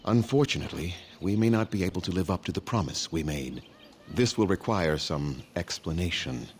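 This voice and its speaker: A deep-voiced elven keeper of ancient lore for a fantasy game. He is weary and haughty, choosing formal words with measured gravity. Clear background ambience sits behind the voice. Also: animated, slight background noise. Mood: grave, regretful. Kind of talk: fantasy video game dialogue acting.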